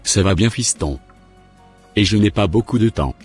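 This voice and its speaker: Low-voiced devout dad played by a man. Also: expressive, moderate pace, darkish tone, slightly rough, soft background music. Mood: earnest, fatherly. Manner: earnest, fatherly. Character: devout, encouraging father of a young son.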